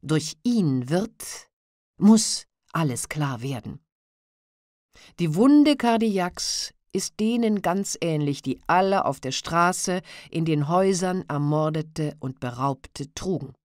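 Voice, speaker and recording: A woman with a fairly low voice reads classic literature aloud. Her narration is measured and expressive, recorded close and dry with no room sound. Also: no room sound. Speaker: audiobook narrator.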